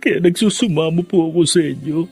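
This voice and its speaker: Earnest, pleading grieving son. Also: male voice, a little high, a little fast, gravelly, a little mellow, husky, soft background music. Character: torn young man grieving his mother. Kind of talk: radio drama acting.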